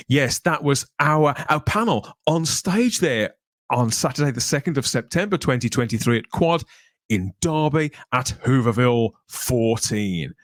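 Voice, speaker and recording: A man's voice: medium pitch, no echo, studio quality. A man presenting a podcast in polished, playful, wordy patter. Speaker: podcast host.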